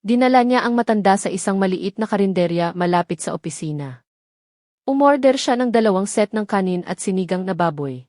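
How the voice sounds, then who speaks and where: A woman's voice: mid-range voice, lively intonation, a little fast, darkish tone, no echo, clean studio sound. A woman reading a scripted dramatic story as its narrator.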